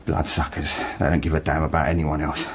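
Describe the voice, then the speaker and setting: An actor playing a bitter, ruined working-class man confessing to murder in a radio drama, halting yet defiant. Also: extremely low pitch, completely flat delivery, background noise. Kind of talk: naturalistic radio drama acting.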